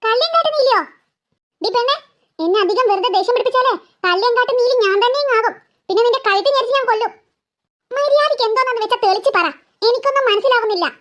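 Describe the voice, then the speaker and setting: A woman's voice: very high-pitched, quick pace, a bit bright, dull audio. A woman voicing an exasperated mother who scolds her children with impatient sarcasm in a comic family skit. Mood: exasperated, sarcastic.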